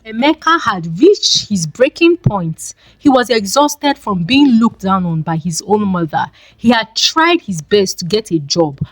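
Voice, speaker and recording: A woman's voice: medium pitch, dry recording. A woman reading a scripted folktale as a storyteller.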